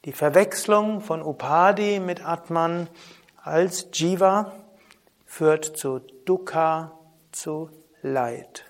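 A man teaching a spoken lesson on yoga philosophy in a calm, measured way, often asking a soft "hm?". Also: on the high side. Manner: calm, measured, with frequent soft trailing drops and questioning "hm?". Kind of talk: spoken lesson on Vedanta terms.

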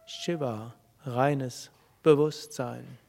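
A man giving a calm spiritual talk to a live audience, speaking in a measured way with pauses and thoughtful hums. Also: medium pitch, gravelly, in a large hall. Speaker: spiritual teacher.

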